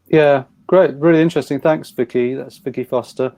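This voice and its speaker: A man chairing a live interview, putting questions in a halting way with restarts. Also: medium pitch, steady tone, warm tone.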